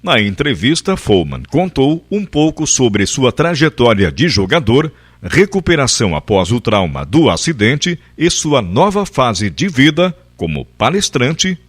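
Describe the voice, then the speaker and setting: A man's voice: mid-range voice, big pitch swings, unhurried. A man hosting a radio interview, reading a formal introduction before talking with his guest. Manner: reads the intro formally, then short backchannels.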